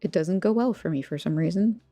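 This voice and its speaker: A woman's voice: lowish voice, fairly quick, dark tone, dry recording. A woman from Texas with an American accent, chatting casually as a livestream host in a rambling, playful, self-deprecating way. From Texas, United States. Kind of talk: casual chat with viewers while crafting. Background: background music.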